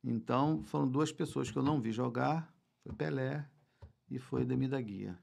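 A man's voice: mid-range voice, moderate pace, gravelly, darkish tone. A Brazilian man of sixty-one with a Rio de Janeiro accent, reminiscing informally as a podcast guest and repeating words for emphasis. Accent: Brazilian Portuguese, Rio de Janeiro. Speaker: football club president, interview guest. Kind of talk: casual podcast conversation, reminiscing.

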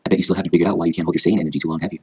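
Man as wise, low-voiced queen mother. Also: steady tone, a little mellow, breathy edge. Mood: wise, gentle. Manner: measured, formal advice. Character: wise, gentle queen mother speaking to her daughter.

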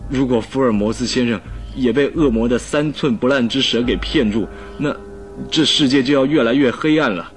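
Man playing an anxious, timid gentleman. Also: mid-range voice, moderate pace, faint music behind, natural voice. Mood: anxious, earnest. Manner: earnest, anxious. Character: timid, cowed gentleman secretly warning of his master. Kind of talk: radio drama acting.

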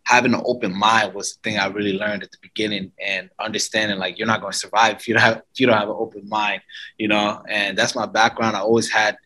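A man from Somalia, a company co-founder and guest, telling his story in a relaxed way, full of "you know". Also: on the low side, fast, poor audio. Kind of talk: casual interview talk.

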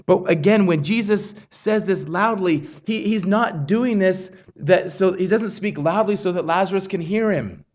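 Male pastor, high voice, earnest measured preaching, stumbles and restarts. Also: a little mellow. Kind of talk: sermon.

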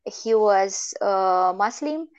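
A young woman from Romania with a Romanian accent, speaking English as a second language as a guest in a casual conversation, chatty and quick to laugh. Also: medium pitch, animated, a little mellow, clear, resonant tone. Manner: chatty, laughs often, many restarts. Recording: dull lo-fi audio.

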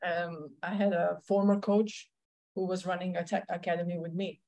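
Low-voiced woman with a Slovenian accent. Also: little pitch movement, moderate pace, mellow. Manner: rambling, many restarts and fillers. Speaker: tennis coach, guest. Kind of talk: conversational interview answers.

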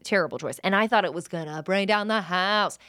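A woman's voice: medium pitch, brisk, fairly bright tone, dry recording. A woman chatting casually as a podcast co-host, emphatic and playfully intense, swearing freely. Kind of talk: casual chat.

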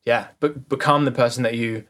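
A man's voice: mid-range voice, steady tone, a little fast, fairly bright tone. A man co-hosting a casual podcast, speaking earnestly about mindset and putting questions to his co-host. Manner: earnest, motivational, asks the other host questions.

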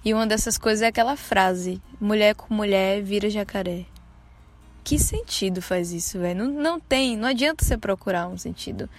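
A woman's voice: mid-range voice, a little fast. A woman in her twenties with a Bahian Brazilian accent, casually telling personal stories as a podcast host. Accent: Bahian Brazilian Portuguese. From Salvador, Bahia, Brazil. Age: 20s. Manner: casual, conversational, self-deprecating.

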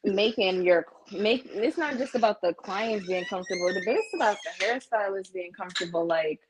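A woman's voice: medium pitch, moderate pace, crowd noise, low-quality recording. A woman with an American accent in casual conversation, talking in a frank, sassy, slangy way. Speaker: salon client, guest. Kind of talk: casual chat.

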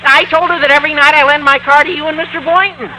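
An actor playing an excitable, scheming high school boy with a squawky adolescent voice in an old-time radio comedy. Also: fast. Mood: sheepish, eager. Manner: gushing, stammering, eager. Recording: faintly noisy vintage radio recording.

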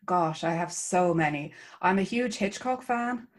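A woman from Ireland with an Irish accent, an author chatting warmly about her writing as an interview guest. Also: on the low side, lively intonation, unhurried. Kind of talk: casual interview chat.

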